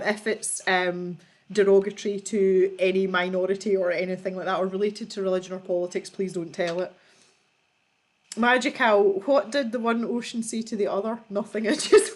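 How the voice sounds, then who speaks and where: A woman hosting a casual livestream, reading out viewer jokes and laughing often as she chats. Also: medium pitch. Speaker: livestream host.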